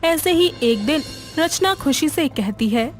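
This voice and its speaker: A woman's voice: sits high, expressive, a little slow, soft background music. A woman narrator reading a scripted moral story in storybook style.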